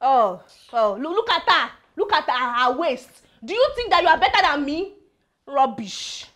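A woman with a Nigerian accent playing a brash, quarrelsome young visitor who speaks in Pidgin English and mocks her flatmate. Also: high voice, moderate pace. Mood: scolding.

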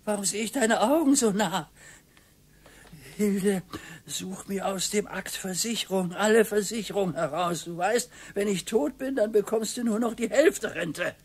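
An actor playing a grumpy, bored older retired husband who grumbles and pities himself, in a radio drama. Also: male voice, sits high, a little slow, husky, heavily acted. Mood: self-pitying. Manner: grumbling, self-pitying, whiny.

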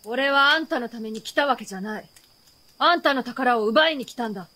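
A woman's voice: on the high side, fairly quick, sharp tone. An actress playing a brash, defiant young hero who talks bluntly like a boy in a radio drama. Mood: resolute. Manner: blunt masculine speech. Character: brash, defiant, boyish-talking youth, reckless and proud.

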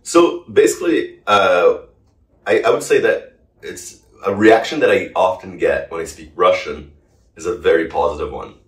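A man's voice: low-pitched, moderate pace. A man in his thirties from Brazil, a native Portuguese speaker, telling stories casually as an interview guest. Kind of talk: casual conversational storytelling. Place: indoor living room, on a sofa. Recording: roomy, distant microphone.

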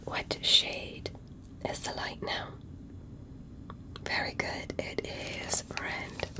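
A woman in an ASMR medical roleplay, speaking in a breathy whisper as a careful examiner who gently gives step-by-step instructions and reassurance. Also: drawn-out words, low-quality recording.

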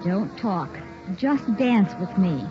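An actress playing an affectionate young fiancée with a soft, languid manner in 1960s radio drama style. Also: female voice, medium pitch, expressive, slow pace. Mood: tender, dreamy. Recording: muffled old radio recording, music behind.

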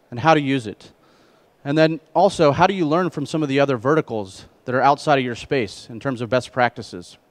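A middle-aged man presenting a conference keynote on stage through a headset mic, speaking in measured phrases. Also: fairly high pitch. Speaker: keynote speaker, trade association president.

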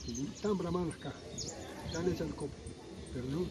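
Older man, halting speech. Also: fairly high pitch. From Calchaquí Valleys, northwest Argentina. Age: 60s. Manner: halting, repeats words, plain-spoken. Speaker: folk singer interviewed. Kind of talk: casual interview answers. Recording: loud background noise outdoors.

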